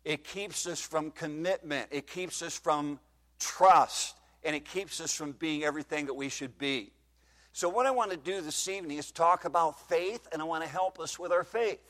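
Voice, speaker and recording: A man's voice: on the high side, moderate pace, a bit bright. A man in his fifties preaching a sermon in a church, reading scripture in old-style wording and putting questions to the congregation. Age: 50s. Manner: reads scripture in King James wording. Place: church hall, slightly echoey. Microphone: microphone.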